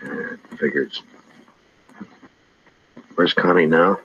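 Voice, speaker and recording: A man's voice: low-pitched, steady tone, drawn-out words, husky, exaggerated voice. A man playing a tough, laconic frontier lawman in old-time radio western style, terse and commanding. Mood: dry. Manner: terse, firm, unyielding. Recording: muffled vintage radio recording.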